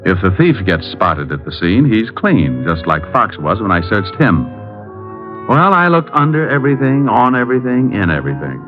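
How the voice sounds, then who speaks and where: An actor playing a cool, wisecracking private detective who also narrates, in an old radio drama. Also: male voice, on the low side, very animated, soft background music. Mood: narrating. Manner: dry, teasing and unhurried. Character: wisecracking male private eye, cocky and cool. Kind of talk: 1950s radio drama acting. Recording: muffled old radio broadcast.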